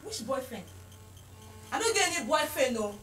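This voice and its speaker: A woman's voice: high voice, sing-song, normal speed, fairly bright tone, echoey room, distant mic, soft background music. An actress playing a defiant young woman with a Nigerian accent.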